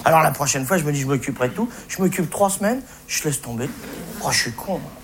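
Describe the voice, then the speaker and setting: A man's voice: a little high, expressive, fast talker. A male stand-up comedian delivering a colloquial, sweary, faux-naive rant on stage. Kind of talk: comic stage monologue. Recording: noisy live recording with audience. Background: audience laughter.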